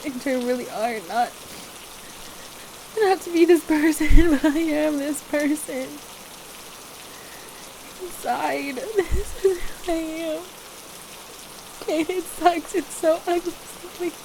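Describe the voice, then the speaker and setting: A woman performs a frightened, apologetic young woman in an intimate roleplay drama. Her voice sits high, and she pleads in halting, repeated phrases. Steady rain is clearly heard around her. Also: rough recording. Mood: tearful, apologetic. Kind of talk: intimate audio roleplay acting. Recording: steady rain noise over the voice.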